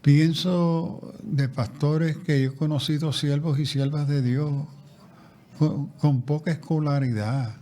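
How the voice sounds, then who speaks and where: A man's voice: on the high side, steady tone, takes it slowly, dark tone. A man speaking as a guest theologian in a conversational interview, reflective, often restarting and repeating words. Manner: reflective, with frequent restarts and repetitions.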